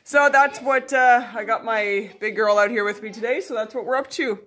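A woman's voice: on the high side, moderate pace, a bit bright. A woman in her thirties chatting casually as she films her farm chores in a large wooden barn, with many fillers. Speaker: farm vlogger. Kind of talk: casual vlog narration. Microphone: phone at arm's length.

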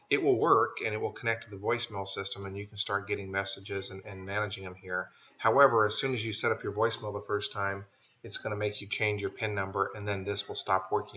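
An American man giving an informal, plain-spoken software tutorial as a voice-over. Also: fairly low pitch. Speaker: software trainer. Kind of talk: casual screen-share tutorial narration. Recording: dull lo-fi voice-over.

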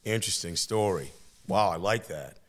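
A man's voice: deep voice, animated, normal speed. An older man, a teacher hosting a studio podcast, interviewing a student with reflective, encouraging remarks in long flowing sentences. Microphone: boom-arm studio mic.

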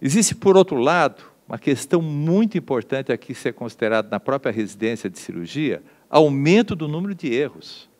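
A middle-aged Brazilian man giving a conference lecture at a podium microphone in an auditorium, measured and dotted with fillers and tag questions. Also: mid-range voice, sing-song, darkish tone. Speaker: lecturer at a medical forum.

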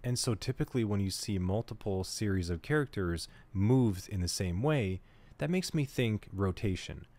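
A man teaching a cybersecurity lesson over a screen recording, talking through each step in a relaxed, conversational way and thinking aloud as he goes. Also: on the low side, moderate pace. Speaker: cybersecurity instructor. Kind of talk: screen-recorded tutorial walkthrough.

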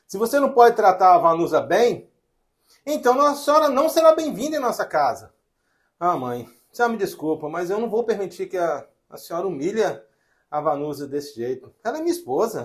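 A man's voice: sits high, big pitch swings, brisk, slightly rough, full character voice. A man playing a hesitant, earnest husband and grown son torn within his family in a domestic drama, with a Brazilian accent. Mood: firm, earnest.

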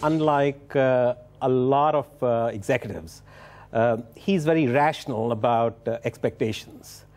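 A man in his fifties answering in a television interview, halting and measured, often restarting his phrases, on a lapel mic in a studio. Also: medium pitch, darkish tone, soft background music. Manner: halting, measured, with repeated starts. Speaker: business executive being interviewed.

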